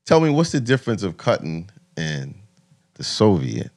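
An American man in his thirties hosts a podcast in a very deep voice. He asks questions and chats casually with his guest, close on a studio mic. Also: very animated, moderate pace, a little mellow. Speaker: podcast host. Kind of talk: casual interview chat. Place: small podcast studio with acoustic foam. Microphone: close studio mic on boom arm.

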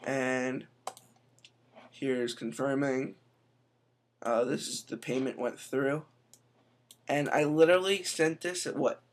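A man's voice: medium pitch, fairly even intonation. Chatty young man on a webcam. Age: young adult. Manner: chatty, quick asides, says "I'm telling you". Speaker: tech vlogger. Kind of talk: casual screen-recorded tutorial. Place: indoor room.

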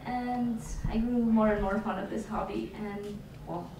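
A woman's voice: medium pitch, fairly even intonation, measured pace, vocal fry, a little mellow. A young woman with a Romanian accent introducing herself informally as a panelist. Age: young adult. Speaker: cosplayer on panel. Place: conference room with audience. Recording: very echoey room, distant, audience noise.

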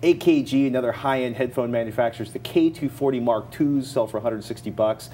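A man's voice: mid-range voice, fairly quick, warm tone. An American man in his forties co-hosting a tech show in a studio, giving recommendations in an emphatic, slangy, conversational way. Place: TV-style studio at a desk. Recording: faint background noise.

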